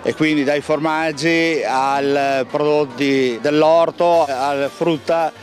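A man's voice: on the high side, steady tone, takes it slowly, faint music behind. Older man, association official, hesitant with fillers, handheld mic outdoors. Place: outdoor expo.

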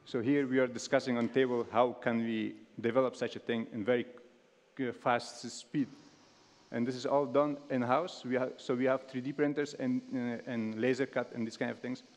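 Halting man giving a stage talk. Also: mid-range voice, steady tone. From Afghanistan. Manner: halting, many fillers and restarts. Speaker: speaker. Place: large stage hall with audience. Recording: long hall reverb.

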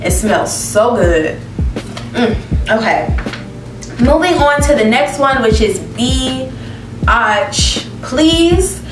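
Slow, lively woman in echoey room. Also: a little high, recorded from a distance. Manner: expressive, reads descriptions aloud, reacts with laughs. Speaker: beauty vlogger. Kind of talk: casual fragrance review. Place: living room with plants, indoors. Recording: roomy echo, mic set back, music behind.

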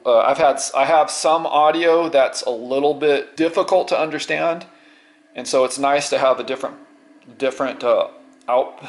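A middle-aged man giving a casual unscripted show-and-tell, halting and rambling, often repeating words as he goes. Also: mid-range voice, vocal fry. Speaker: vlogger.

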